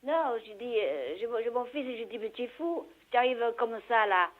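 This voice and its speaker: A woman's voice: on the high side, lively intonation, a little fast. A mature woman chatting casually on the telephone, reacting with exclamations. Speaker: prank call victim. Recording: tinny narrowband phone line.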